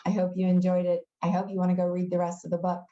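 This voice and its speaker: A woman reading a children's novel aloud in an expressive storytelling style. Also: lowish voice, fast, lo-fi sound.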